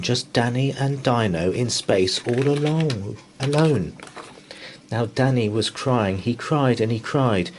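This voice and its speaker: A man reading a children's bedtime story aloud in rhyme, with an expressive, theatrical delivery. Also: medium pitch, slight background noise.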